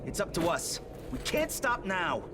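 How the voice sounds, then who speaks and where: A man's voice: high voice, big pitch swings, unhurried, background noise. A man voicing a brash, hot-headed teenage mutant turtle in a video game, sarcastic and combative. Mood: cocky, combative. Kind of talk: video game cartoon voice acting.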